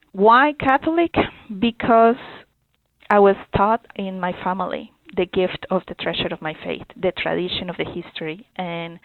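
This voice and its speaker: Earnest woman from Colombia, gravelly voice. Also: lowish voice, dry recording. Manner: earnest, halting, with many fillers and restarts. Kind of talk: interview answers. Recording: dry, phone-like narrow sound.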